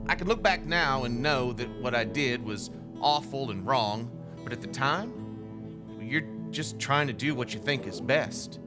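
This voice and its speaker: A man's voice: medium pitch, animated, unhurried, lightly acted. A man playing a regretful wrongdoer in a reflective, confessional radio testimonial. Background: prominent guitar music.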